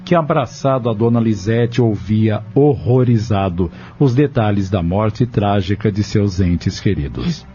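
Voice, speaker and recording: A man's voice: lowish voice, measured pace, few pauses, warm tone. A man narrating a dramatic audio novel from a script in a solemn, literary manner. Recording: dull lo-fi audio with music behind. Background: music.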